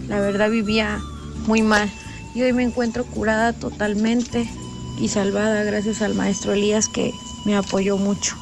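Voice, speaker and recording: A woman in her thirties gives an earnest testimonial. Her delivery is flat and gravelly. Music runs clearly beneath her. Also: mid-range voice. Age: 30s. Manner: earnest, sincere. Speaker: testimonial speaker in ad. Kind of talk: read testimonial. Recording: radio ad with music bed.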